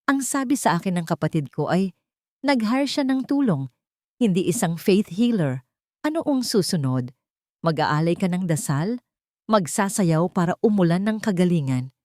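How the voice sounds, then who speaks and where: Woman playing a snooty shrill rich auntie, bossy and sneering, lively voice. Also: moderate pace, no echo, clean studio sound, natural voice. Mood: scornful. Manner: mocking, commanding. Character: shrill haughty rich woman, scornful aunt.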